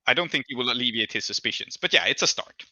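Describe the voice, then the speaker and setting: A man's voice: mid-range voice, lively intonation, quick pace, bright, forceful tone, dry recording. A man working as game master, narrating and making rulings in a conversational way.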